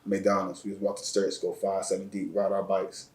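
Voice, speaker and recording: A man with a very deep voice, speaking in an almost unchanging monotone. He tells his story slowly, restarting words as he goes. The room gives his voice a noticeable echo. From Chicago area, Illinois. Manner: slow, flat delivery with repeated words and slang. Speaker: interview guest. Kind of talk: reflective personal storytelling. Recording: roomy echo.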